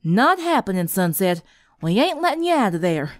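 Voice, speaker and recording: A woman's voice: mid-range voice, sing-song, moderate pace, no echo, clean studio sound, lightly acted. A woman voicing a plain-spoken, stubborn, practical country girl in a story reading.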